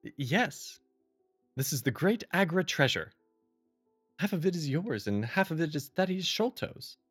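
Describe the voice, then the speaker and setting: Victorian doctor, male actor, devoted and effusive, lively swooping higher voice, audio drama with music underneath. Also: unhurried, husky, exaggerated voice. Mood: excited, eager. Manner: eager, effusive. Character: earnest Victorian gentleman doctor, excited, devoted.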